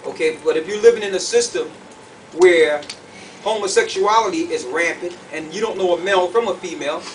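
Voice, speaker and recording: High-voiced man preaching, recorded from a distance. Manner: emphatic, didactic, checks understanding with short questions. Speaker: preacher teaching scripture. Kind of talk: sermon, verse-by-verse teaching. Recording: distant mic, faint background noise.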